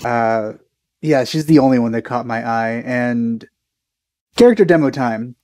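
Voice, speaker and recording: A man's voice: medium pitch, a little slow, a little mellow, dry recording. A man in his thirties giving casual, enthusiastic reaction commentary as a video host, close on the mic. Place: small indoor room.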